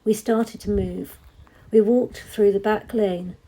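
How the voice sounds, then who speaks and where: A woman narrating a story expressively, with dramatic, measured phrasing that is often hushed. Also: medium pitch, steady tone, poor audio. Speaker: story reader. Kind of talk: expressive audiobook-style narration.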